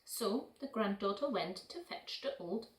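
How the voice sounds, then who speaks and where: Female children's storyteller, gentle sing-song delivery, very animated pitch, recorded in a roomy space. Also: unhurried. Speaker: puppet show storyteller. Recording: roomy echo, distant microphone.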